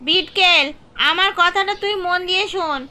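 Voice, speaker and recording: Casting: protective, bossy cartoon village mom played by a woman, high forceful voice, firm and cautioning. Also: normal speed, a little hiss or hum, full character voice. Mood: strict. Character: strict, protective village mother, warning and bossy. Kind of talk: cartoon horror story dialogue.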